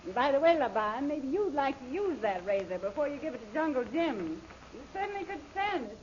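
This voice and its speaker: An actress playing a bossy, scheming femme fatale in an old radio serial, commanding and sly. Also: female voice, sits high, big pitch swings, a little fast, a little mellow. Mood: smug. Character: bossy, scheming siren villainess, beautiful and vain. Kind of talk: 1930s radio serial acting. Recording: noisy, muffled old-time radio recording.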